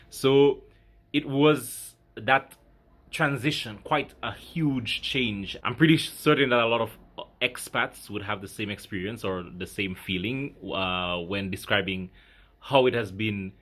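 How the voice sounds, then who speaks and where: A man in his thirties from Grenada, talking reflectively to his viewers in a halting, casual way with many fillers. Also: fairly low pitch, a bit bright. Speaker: vlogger. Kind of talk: casual talk to camera.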